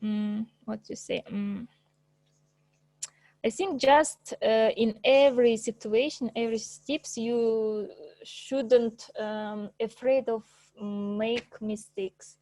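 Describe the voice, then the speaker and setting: A woman whose first language is Russian gives an informal presentation over a video call, pausing often with fillers and restarts. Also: on the high side, steady tone, dark tone, no echo, poor audio. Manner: hesitant, many fillers and restarts, laughs often. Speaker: presenter at an English club.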